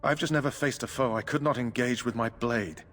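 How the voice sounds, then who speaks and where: A man's voice: mid-range voice, brisk, a bit bright, a little hiss or hum, lightly acted. An actor voicing an anxious male knight recruit in a video game, worried and complaining. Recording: game audio with long reverb.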